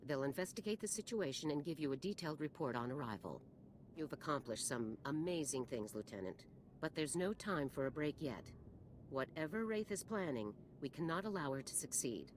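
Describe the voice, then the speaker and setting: A woman's voice: low voice, lively intonation, a little fast, a little hiss or hum. An actress playing a stern female military general in a video game, speaking in a formal, authoritative, clipped manner. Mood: grave. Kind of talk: video game character acting.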